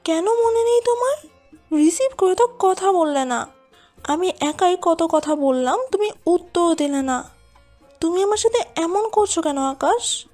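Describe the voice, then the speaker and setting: Casting: actress as clingy, lovesick young woman for romantic audio drama; extremely high voice, plaintive pleading, music behind. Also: expressive, measured pace, a little mellow. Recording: voice over a music bed.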